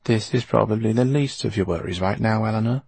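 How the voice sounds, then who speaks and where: A man voicing an indignant, haughty, sharp-tongued middle-aged woman in an audiobook. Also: fairly low pitch, brisk, creaky, slightly rough, no room sound, voice pushed up. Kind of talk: audiobook character acting.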